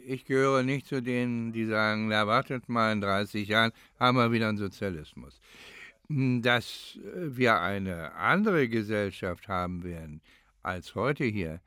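An older man from East Germany, a former professor, recalling his life in an interview in a reflective, halting way as he searches for words. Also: on the low side, bright, forceful tone, smooth.

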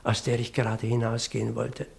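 A man's voice: medium pitch, monotone, creaky, darkish tone. An actor playing a flustered, pedantic parson who tells his dramatic story in in a radio play. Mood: aggrieved, formal. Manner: fussy, dramatic, halting when agitated.

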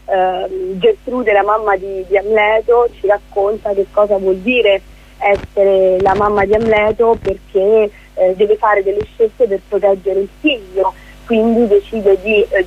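A woman's voice: medium pitch, slightly rough, dull audio. A woman speaking as a guest theatre director in a conversational radio interview, explaining things discursively.

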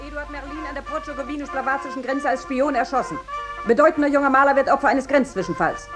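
Satirical radio bit part: performer as breathless headline crier, high voice, very fast, hyped, declamatory. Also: lightly acted. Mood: sensational, urgent. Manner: urgent, declamatory. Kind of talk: 1950s radio play satire, headline proclamation. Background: music.

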